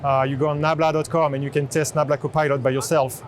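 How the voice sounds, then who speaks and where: A man gives a spontaneous product demo in an explanatory, halting manner. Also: fairly high pitch, level tone. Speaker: chief product officer giving a product demo. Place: indoor trade show booth in large exhibition hall. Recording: busy convention hall background noise. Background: exhibition hall noise.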